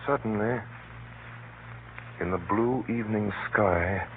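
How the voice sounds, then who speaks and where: Hunted hero-narrator for 1930s radio drama: low, tense, deliberate delivery over a noisy, scratchy broadcast. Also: male voice, phone-quality sound. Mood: tense, watchful. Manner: dramatic, deliberate, measured pauses. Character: hunted man recounting his flight, tense and grave. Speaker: narrator and lead actor.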